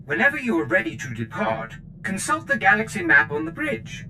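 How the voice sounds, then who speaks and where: A man's voice: mid-range voice, wildly swooping pitch, fairly quick, pushed and bright, a little hiss or hum, exaggerated voice, poor audio. A man voicing a fussy, eager-to-please steward droid in a video game, speaking formally and obsequiously. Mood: eager, servile. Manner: formal, obsequious, proud of its service. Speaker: ship steward. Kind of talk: video game character acting. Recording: music behind the voice.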